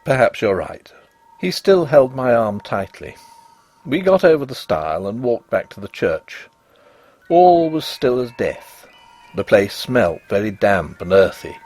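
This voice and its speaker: Casting: male storyteller for gothic fiction, theatrical delivery, mid-range husky voice with highly animated pitch. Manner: expressive, theatrical. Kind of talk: dramatic first-person literary ghost story narration.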